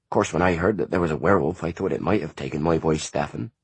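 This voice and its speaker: A man's voice: a bass voice, quick pace, husky, dry recording, full character voice. A man voicing a weary, grieving peasant mother, sorrowful and pleading, in an audiobook.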